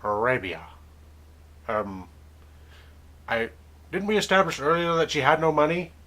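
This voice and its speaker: Male host, mock-formal put-on accent, rambling, lively pitch. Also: a little slow, slight background noise. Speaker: interviewer. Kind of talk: comedic webcam interview.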